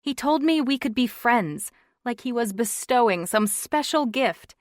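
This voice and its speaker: A woman's voice: on the high side, lively intonation, measured pace, dry recording, clean studio sound, voice pushed up. Woman voicing a wry, exasperated thirties woman. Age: 30s. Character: frustrated thirty-something woman, wry and guarded.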